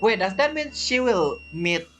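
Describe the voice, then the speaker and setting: A woman streaming a game with casual, halting, joking commentary, talking back to the characters. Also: mid-range voice, big pitch swings, fairly bright tone. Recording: game music behind.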